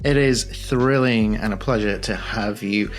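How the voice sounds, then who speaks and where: A man's voice: lowish voice, measured pace, faint music behind. A man speaking as a podcast host in a conversational interview, with courteous, slightly theatrical phrasing.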